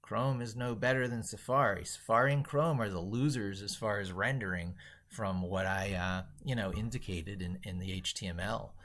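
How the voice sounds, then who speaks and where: A man hosting a casual tech livestream, thinking aloud in a rambling, emphatic way with a gravelly, husky voice. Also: on the low side, moderate pace, a bit bright. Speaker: livestream host.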